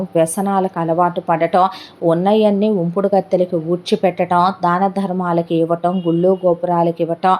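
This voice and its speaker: A woman voicing a middle-aged uncle who slowly confesses his family's painful past. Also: fairly low pitch, darkish tone, lightly acted. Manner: slow, heavy storytelling.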